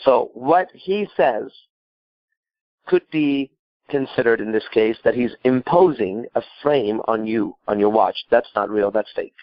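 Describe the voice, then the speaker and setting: A man's voice: medium pitch, measured pace, no room sound, lo-fi sound. A man from Iran giving improvised advice as a call-in show host, emphatic and blunt, repeating himself and asking rhetorical questions. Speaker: podcast host and coach.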